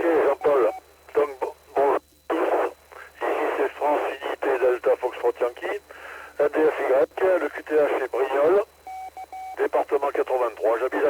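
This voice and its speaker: An older man calling into an amateur radio net, chatting in a rambling, halting way and repeating words. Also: mid-range voice. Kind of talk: casual on-air chat. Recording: noisy radio transmission.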